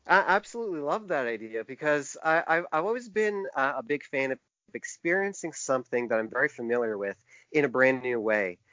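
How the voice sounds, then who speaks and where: A man with a mid-range voice talks casually as an interview guest. He is thoughtful and halting, restarting phrases as he works out his ideas. The sound is close and dry, slightly dull in fidelity. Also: mid-range voice, lively intonation, pushed and bright, dry recording. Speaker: podcast guest, author and publisher.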